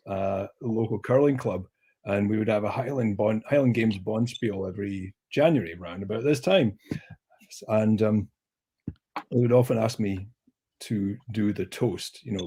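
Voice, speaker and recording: Husky guy telling funny stories on a video call, loud voice, jokes on himself. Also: fairly low pitch. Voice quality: husky, big projecting voice. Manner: self-mocking, animated storyteller. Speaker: guest. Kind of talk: casual chat.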